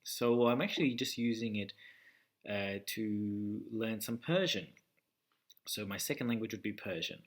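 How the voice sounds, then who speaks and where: A young man casually talking to his channel about learning languages, pausing often with ums and uhs as he thinks aloud. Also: lowish voice. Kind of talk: casual talking-head vlog.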